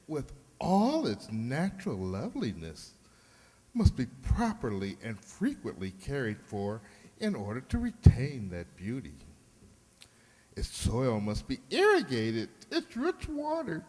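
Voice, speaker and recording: Gushing, swooping theatrical hairdresser. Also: male voice, mid-range voice, huge pitch swings, takes it slowly, a little mellow, full character voice. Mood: theatrical, flattering. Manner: effusive, flowery, affected. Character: gushing, theatrical male hairdresser, flattering and two-faced. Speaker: amateur radio-play actor. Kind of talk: comic old-time radio sitcom acting. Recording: echoey hall with long reverb.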